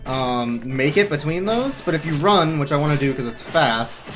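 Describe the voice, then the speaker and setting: A man's voice: a little high, lively intonation, moderate pace. A man giving casual, joking live commentary as he speedruns a video game. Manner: wry, joking. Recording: voice under loud game music. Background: loud game music.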